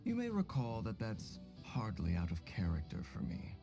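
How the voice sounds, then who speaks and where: A man's voice: on the low side, wildly swooping pitch, normal speed. A man playing a stoic, formal and enigmatic wandering swordsman in a video game, with a dry manner. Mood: dry, reserved. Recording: clear music behind the voice.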